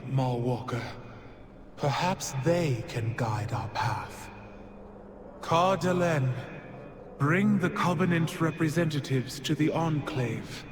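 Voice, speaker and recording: An actress playing a solemn, dutiful herald of a fantasy afterlife in a video game, ceremonial and formal. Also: female voice, deep voice, background noise. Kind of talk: video game cinematic acting.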